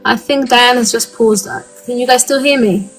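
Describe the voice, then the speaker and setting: A woman's voice: on the high side, fairly even intonation, normal speed, fairly bright tone, rough recording. Woman from the uk, thirties, earnest, lots of ums, live online session, faint music behind. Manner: earnest, frequent "um" fillers, candid. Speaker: guest speaker.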